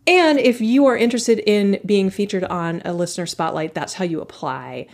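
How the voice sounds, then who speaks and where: A woman's voice: mid-range voice, moderate pace, a little mellow. Enthusiastic woman hosting a podcast. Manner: enthusiastic, laughs often. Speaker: podcast host. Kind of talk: casual podcast chat.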